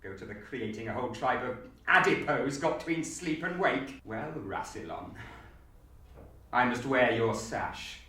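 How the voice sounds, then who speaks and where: Guy auditioning, sharp bright voice, swoopy delivery, echoey and far from mic. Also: mid-range voice, animated, measured pace. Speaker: auditioning actor. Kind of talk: audition chat and theatrical recitation. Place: university room, audition being filmed. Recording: very echoey room, distant mic.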